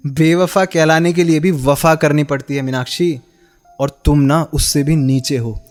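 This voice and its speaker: A heartbroken young husband in a radio drama, speaks in a slightly high voice. He is bitter and sarcastic, throwing accusing rhetorical questions at his former love. Music plays beneath the dialogue. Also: fairly even intonation, slow pace. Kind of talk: Hindi radio play acting.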